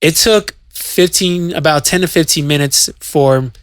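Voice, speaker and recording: A man's voice: on the high side, a little slow, no room sound, clean studio sound. A male DJ co-hosting a podcast, telling a story at length in casual chat, with many fillers and restarts. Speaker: podcast co-host and DJ.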